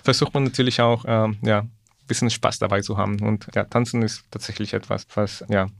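A man from Ecuador, chatting as a podcast guest in a halting, careful way. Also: lowish voice, little pitch movement, creaky, dry recording. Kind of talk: casual chat.

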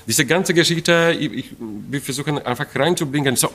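A man's voice: a little high, brisk, fairly bright tone, slight background noise. A middle-aged man from Poland with a Polish accent, a Polish native speaker, talking in an interview about an opera production, searching for words with many fillers and restarts and laughing often. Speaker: opera stage director.

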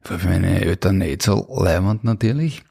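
Low, creaky-voiced Austrian man telling stories. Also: moderate pace, dark tone. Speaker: interview guest. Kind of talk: casual autobiographical storytelling.

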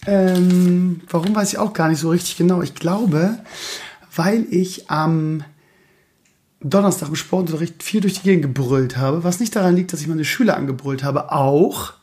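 A hoarse man hosting his own podcast, chatting casually in a rambling, self-mocking way and grumbling about his week. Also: fairly high pitch, moderate pace, darkish tone. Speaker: podcast host. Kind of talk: casual chat.

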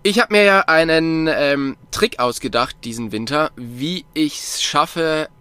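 Man, casual chat, lots of fillers, bright tone, dry sound. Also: fairly high pitch, takes it slowly, clear, resonant tone, no echo. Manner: relaxed, rambling with many fillers. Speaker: podcast co-host, sponsored cyclist.

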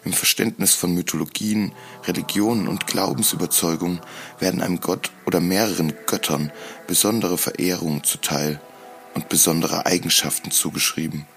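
A man narrating a scripted first-person audio drama in a solemn, deliberate storytelling manner. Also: sits low, steady tone, fairly bright tone, faint music behind. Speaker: podcast host.

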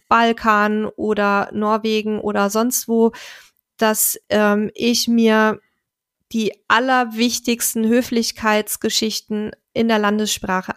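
A woman co-hosting a casual podcast, chatting and reading listener mail aloud, often pausing on "ähm". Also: fairly high pitch, dry recording, studio quality. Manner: chatty, fills pauses with "ähm". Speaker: podcast co-host.

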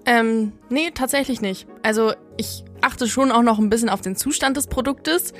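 A woman's voice: on the high side, normal speed, soft background music. A young adult woman co-hosting a podcast, chatting casually and presenting. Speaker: podcast co-host.

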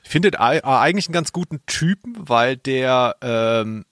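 A man's voice: medium pitch, lively intonation, normal speed, fairly bright tone, dry recording, clean studio sound. A man co-hosting a podcast in casual chat, rambling a little and correcting himself as he goes. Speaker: podcast co-host. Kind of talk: casual chat about audio dramas.

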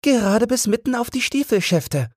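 A man's voice: very high voice, lively intonation, normal speed, no echo, studio quality, voice pushed up. A man voicing a small, curious and eager boy in a fairy tale audiobook, with a childlike manner.

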